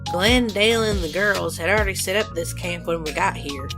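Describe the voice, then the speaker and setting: Woman playing a lowish-voiced, grumbling survivor. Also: moderate pace, natural voice. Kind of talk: comic dub voice acting. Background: loud background music.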